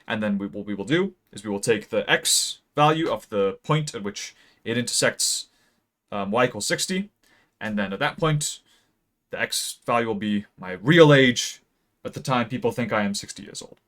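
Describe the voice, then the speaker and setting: A young man in his late teens gives a deadpan mock lecture about a graph. He rambles with many ums and speaks close to a mic that sometimes peaks. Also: fairly low pitch, normal speed. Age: young adult. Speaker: video creator. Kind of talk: mock-serious graph explainer.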